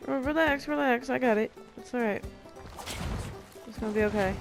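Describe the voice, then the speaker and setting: Woman streamer, high and animated, unhurried playful commentary over clear game music. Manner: playful, self-deprecating, reactive. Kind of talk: casual live gameplay commentary.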